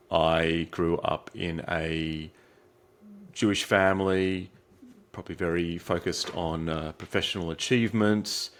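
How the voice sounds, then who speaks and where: An Australian man as an interview guest, talking in a reflective way with many restarts and fillers. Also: deep voice. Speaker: guest, psychiatric trainee. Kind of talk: interview answers.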